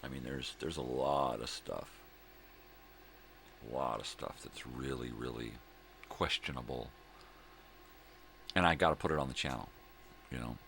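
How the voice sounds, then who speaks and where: A middle-aged man with a gravelly voice, rambling casually and folksily as he hosts a morning vlog, often repeating himself. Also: measured pace, pauses often, fairly bright tone. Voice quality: gravelly, very deep. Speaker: host of a daily vlog. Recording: clear background room noise.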